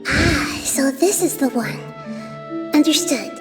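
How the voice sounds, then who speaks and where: A woman voicing a calm, thoughtful, childlike goddess in an anime game, with a soft girlish voice and measured delivery. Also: high voice, music in the background. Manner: measured, reflective. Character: childlike girl deity, calm, wise, thoughtful.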